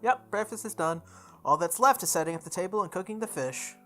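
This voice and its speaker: A woman's voice: fairly low pitch, expressive, a little fast, a bit bright, pitched above the performer's own voice. A woman voicing an earnest, matter-of-fact teenage boy in a visual novel read aloud, with music behind. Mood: practical, earnest. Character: earnest, practical teenage boy cooking breakfast.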